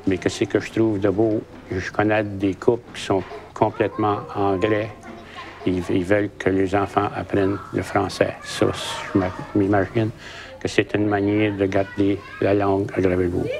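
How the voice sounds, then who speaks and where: A man's voice: on the low side, little pitch movement, moderate pace, creaky, background noise. A man chatting in an interview, stumbling slightly over his words. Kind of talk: documentary interview.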